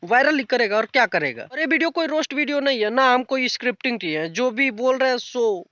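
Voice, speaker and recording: A man's voice: extremely high pitch, lively intonation, fast talker, sharp tone, no room sound, muffled recording. A young man giving an unscripted, casual commentary rant in a blunt, colloquial way with crude slang. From rural north India. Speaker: YouTube commentator.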